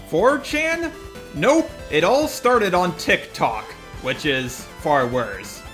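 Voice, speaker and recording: Man with lively, higher-pitched voice. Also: takes it slowly, soft background music. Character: persona of a robot. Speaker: host. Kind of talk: scripted video commentary.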